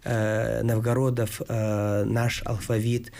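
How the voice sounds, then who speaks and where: A man hosting a studio discussion on TV, a native Yakut speaker talking in a measured, formal way with frequent pauses. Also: on the low side, little pitch movement, gravelly, a little mellow. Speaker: TV talk show host.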